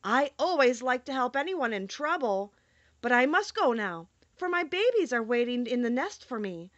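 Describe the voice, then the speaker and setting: A woman voicing a kindly, helpful mother stork in a children's storybook, gentle and earnest. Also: a little high, very animated, moderate pace, no echo, dull audio.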